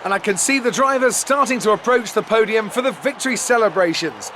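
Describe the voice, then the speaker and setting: A man playing an excited motor racing commentator in a video game, projecting his announcements. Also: high voice, fairly quick, noisy background, natural voice. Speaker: race commentator.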